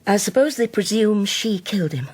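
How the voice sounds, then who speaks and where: An actress playing a grave, devout older Scottish widow in a radio drama, speaking solemnly and haltingly. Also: female voice, medium pitch, lively intonation, a little mellow, slightly rough. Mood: grave confession. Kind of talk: naturalistic radio drama acting.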